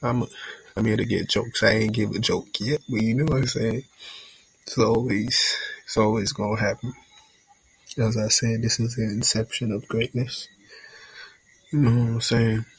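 Gravelly laid-back young man. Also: lowish voice, level tone, moderate pace, long pauses, dry recording, poor audio. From Jamaica. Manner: laid-back, rambling, keeps saying "you know what I'm saying". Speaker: podcast host. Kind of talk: casual solo podcast intro.

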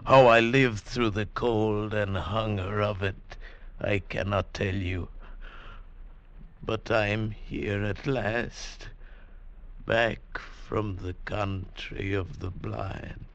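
Haunted, rapturous wanderer for vintage radio drama; low, unhurried delivery, muffled old recording. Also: male voice, fairly even intonation. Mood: weary, haunted. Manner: slow, rapturous, dramatic narrating. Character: weary, awestruck male mountain wanderer, newly blind. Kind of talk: 1940s radio drama acting.

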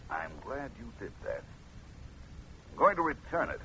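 A man acting a cool, determined detective in an old radio drama, with measured, menacing, dryly confident delivery. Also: mid-range voice, big pitch swings. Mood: controlled anger. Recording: muffled, noisy old radio recording.

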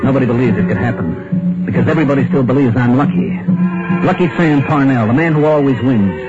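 A man's voice: mid-range voice, a little fast, lightly acted. An actor playing an anxious, ruined businessman, narrating in tense first person in a 1950s radio drama. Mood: haunted. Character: anxious broke businessman, middle-aged husband. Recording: muffled old radio broadcast with music.

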